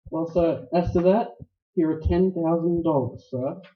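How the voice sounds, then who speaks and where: An actor in a noir radio play, playing a suave, courtly older criminal mastermind who speaks with florid, deliberate politeness. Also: male voice, on the high side, lightly acted. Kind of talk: staged radio play acting, 1940s noir. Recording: roomy, dull live stage recording.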